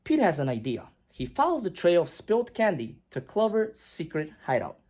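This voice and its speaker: Lady reading a kids book out loud, lowish husky voice, really expressive. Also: normal speed. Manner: expressive, with emphatic swings and hushed drops. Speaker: storyteller reading aloud. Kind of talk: animated children's picture-book read-aloud.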